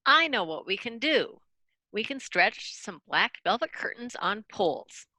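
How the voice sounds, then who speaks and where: A woman's voice: fairly high pitch, sing-song, a little slow, bright, forceful tone, no echo, full character voice, rough recording. A woman playing an eager, inventive royal wizard in a storybook readers theater, confident and explanatory.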